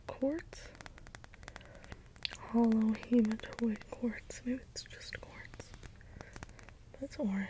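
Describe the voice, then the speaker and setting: Woman acting as naive caretaker robot, breathy whisper, slightly high, halting, gentle, puzzled by humans, close-up ASMR role play. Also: low-quality recording. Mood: puzzled, caring. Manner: slow, halting, deliberate delivery. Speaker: ASMR performer. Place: small dim indoor space with cloth backdrop. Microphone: close ASMR microphone.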